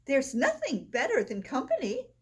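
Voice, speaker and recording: A woman voicing a bright, sociable female animal friend from a children's storybook, with eager exclamations. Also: high voice, wildly swooping pitch, moderate pace, voice pushed up. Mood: cheerful.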